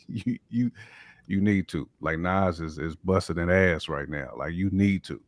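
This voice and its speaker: A man's voice: sits low, unhurried, no echo. An American man giving opinions on a casual talk panel, slangy and emphatic, often repeating his point.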